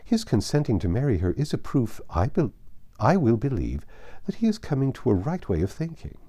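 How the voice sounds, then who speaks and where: A man reads the part of a forgiving young elder sister in a classic storybook. She reasons gently and earnestly in a mellow voice with a varied, lilting melody. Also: medium pitch, huge pitch swings, fairly quick, breathy edge, pitched above the performer's own voice. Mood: gentle, reassuring.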